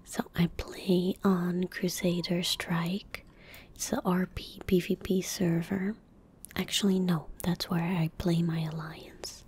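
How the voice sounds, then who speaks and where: Whispering young woman, very breathy. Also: lowish voice, level tone. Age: young adult. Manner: hushed, slow and gentle. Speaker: ASMR streamer playing a game. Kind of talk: soft-spoken ASMR gaming commentary. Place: indoor gaming room at home. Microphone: close desk microphone.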